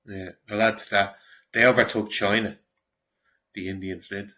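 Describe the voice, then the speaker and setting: Bright-voiced blunt Irish man. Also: on the low side, level tone, unhurried. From Ireland. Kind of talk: casual opinion commentary.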